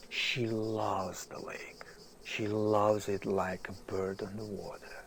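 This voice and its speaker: An actor playing a self-doubting, weary writer in an audio drama, musing and hesitant, often hushed. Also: male voice, fairly low pitch, level tone, slow pace. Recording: noisy outdoor ambience, birds and insects.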